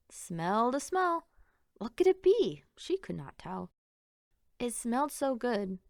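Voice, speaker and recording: A woman reading a children's picture book aloud in an expressive, theatrical storytelling manner with emphatic phrasing. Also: on the high side, vocal fry, no echo, studio quality.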